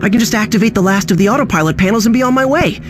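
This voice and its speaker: A man's voice: high-pitched, racing, over music, lightly acted. An actor playing an easygoing, confident teenage boy hero in a video game, talking in a wry, casual way.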